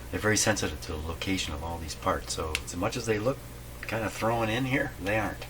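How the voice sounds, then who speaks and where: A man's voice: fairly low pitch, fast talker. A man doing unscripted hands-on repair commentary, thinking aloud in a hesitant, puzzled way as he works through a problem. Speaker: radio repair host. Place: repair shop, voice away from the mic. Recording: distant mic, clear background noise.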